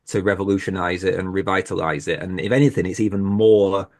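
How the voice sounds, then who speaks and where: A man's voice: deep voice, fairly even intonation, a little fast, darkish tone. A man on a panel, speaking in an earnest, opinionated way. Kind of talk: casual panel discussion.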